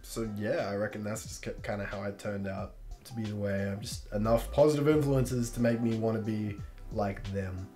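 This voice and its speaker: A man's voice: lowish voice, fairly even intonation, moderate pace, warm tone, soft background music. An eighteen-year-old man chatting casually as a podcast guest, teasing and joking and swearing loosely. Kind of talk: casual chat.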